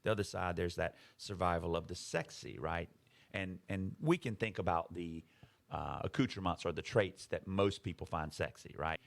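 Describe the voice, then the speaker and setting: Low gravelly man explaining animatedly. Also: normal speed. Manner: animated, emphatic, explaining with rhetorical questions. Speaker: podcast co-host. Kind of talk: explanatory conversational chat.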